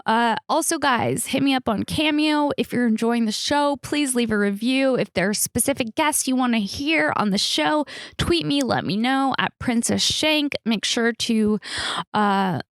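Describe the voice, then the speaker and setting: A woman in her thirties with a creaky voice, chatting casually and candidly as a comedic podcast host, rambling and poking fun at herself. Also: a little high, animated, a little slow, dry recording, studio quality. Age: 30s. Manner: rambling, candid, self-mocking, casual slangy talk. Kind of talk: casual solo podcast chat, comedic storytelling.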